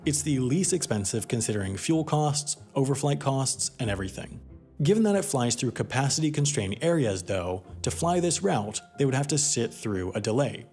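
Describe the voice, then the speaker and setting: A man narrates an explainer documentary from a script. His mid-pitched voice moves animatedly up and down, with soft music playing behind it. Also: big pitch swings, moderate pace.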